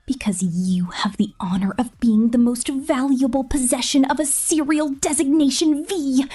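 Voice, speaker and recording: A woman voicing a cruel, possessive killer robot captor in a menacing, taunting ASMR roleplay, mostly in a breathy whisper. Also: fairly high pitch, darkish tone, heavily acted. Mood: gloating menace. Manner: menacing, taunting, slow and deliberate.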